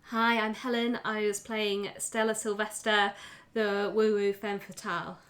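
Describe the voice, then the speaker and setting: A woman's voice: mid-range voice, flat delivery, unhurried, a bit bright. A woman talking casually as a player on a role-playing podcast. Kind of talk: casual group chat.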